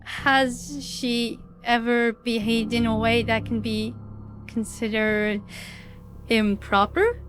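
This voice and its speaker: Woman acting formal, high-voiced unyielding executive. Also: flat delivery, slow pace, music in the background. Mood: probing. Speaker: voice actor in fan audio drama. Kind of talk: audio drama acting.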